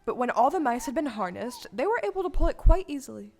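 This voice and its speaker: A woman's voice: sits high, big pitch swings, fast, a little mellow, faint music behind. A woman playing the storybook narrator in an audio play, reading scripted narration. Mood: storytelling.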